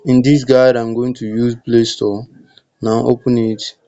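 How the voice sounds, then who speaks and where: A man's voice: mid-range voice, little pitch movement, measured pace, dark tone, muffled recording. A man narrating a step-by-step app tutorial in plain, short instructions.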